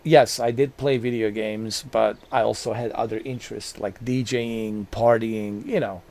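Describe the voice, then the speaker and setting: A man's voice: fairly low pitch, steady tone, normal speed, creaky, a little mellow. A man in his forties, hosting a gaming channel and talking casually to his viewers, rambling and repeating himself with many fillers. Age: 40s. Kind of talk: casual talk to camera.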